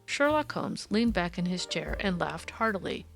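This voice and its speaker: A woman's voice: on the low side, big pitch swings, moderate pace, gravelly, faint music behind. A woman narrating an audiobook, reading classic fiction in a scripted literary style.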